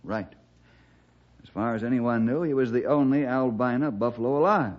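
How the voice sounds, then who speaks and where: Man acting a husky, calm American ranger. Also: medium pitch, normal speed, lightly acted. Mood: thoughtful. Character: calm, inquisitive male Western ranger. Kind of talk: 1960s radio drama acting. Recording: dull vintage radio recording.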